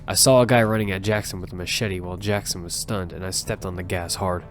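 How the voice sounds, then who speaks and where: A man's voice: deep voice, fairly even intonation, fast, close to an everyday voice. A man narrating as an earnest young squad leader. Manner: urgent, earnest storytelling. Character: young male squad leader narrating his own story. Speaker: narrator and lead character. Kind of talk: first-person audio fiction narration. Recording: music bed underneath.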